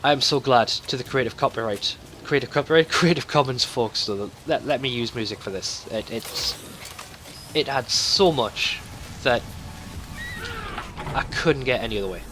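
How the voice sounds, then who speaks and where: A man's voice: mid-range voice, normal speed, pushed and bright, a little hiss or hum. A man hosting a gaming video, talking casually and thinking aloud in a conversational let's play commentary.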